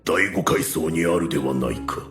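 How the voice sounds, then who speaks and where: A grave inhuman guardian from anime audio drama, stern and formal. The voice is very deep, with soft music beneath it. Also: male voice, normal speed. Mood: stern, puzzled.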